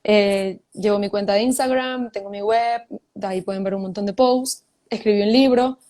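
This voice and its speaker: A woman's voice: mid-range voice, steady tone, normal speed, a little mellow. A young woman with a Venezuelan accent, telling her story as a guest on a casual video call, chatty and laughing often. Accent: Venezuelan Spanish. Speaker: guest writer. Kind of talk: casual live video chat.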